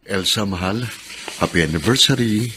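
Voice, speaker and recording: Man acting a deep-voiced, devoted husband. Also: normal speed, over music, lightly acted, poor audio. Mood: tender. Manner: affectionate, coaxing. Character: devoted, tender middle-aged husband. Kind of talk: Filipino radio drama acting.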